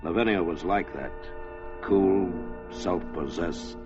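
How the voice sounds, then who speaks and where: An actor playing the grave narrator of an old radio suspense story. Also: male voice, low voice, slow pace. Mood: grave, measured. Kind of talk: mid-century radio drama narration. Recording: muffled old radio recording. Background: music.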